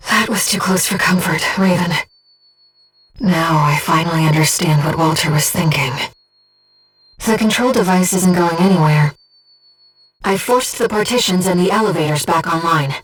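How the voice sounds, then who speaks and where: A woman playing a calm, devoted disembodied companion who guides a pilot in a video game, speaking in a measured, earnest, gently formal way. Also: fairly low pitch, husky, distant mic, rough recording. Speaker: mission support companion. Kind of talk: scripted video game voice acting.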